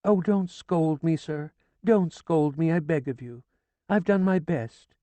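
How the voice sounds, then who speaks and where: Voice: expressive, unhurried, warm tone, dry recording, lightly acted. A reader voicing a frantic, grieving older woman who pleads and nearly screams, in an audiobook. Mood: hysterical pleading. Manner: shrill, pleading, near screaming. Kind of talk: audiobook character voice.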